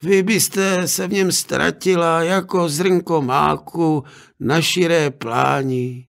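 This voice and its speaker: A man voicing a cautious, motherly old maidservant in a fairy tale, speaking slowly and doubtfully. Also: on the high side, fairly even intonation, gravelly, voice pushed up. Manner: slow, doubtful.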